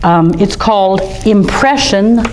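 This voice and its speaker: Woman storytime reader in a museum gallery; friendly, chatty picture-book reading aimed at children, mid-pitched voice. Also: slow pace, noisy background. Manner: chatty, friendly, speaks straight to young kids. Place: art museum gallery, indoors.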